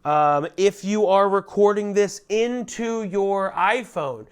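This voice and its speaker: A loud, chatty man giving a casual product tutorial, speaking into a lavalier mic clipped under his shirt. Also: high voice, slow pace, clean tone. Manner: loud, chatty, self-deprecating asides. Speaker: gear reviewer.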